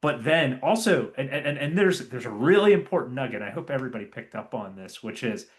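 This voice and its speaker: A man's voice: mid-range voice, animated, a little fast. Man, interview host, enthusiastic, affirming, stumbling restarts. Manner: enthusiastic, repeats words, many affirmations. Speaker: podcast host. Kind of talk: casual interview hosting.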